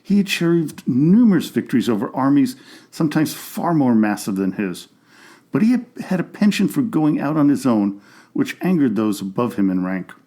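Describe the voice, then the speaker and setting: A man hosting a history podcast, reading a scripted narration in a steady, informative way. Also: mid-range voice, moderate pace. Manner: reads steadily from a script with an even, informative delivery.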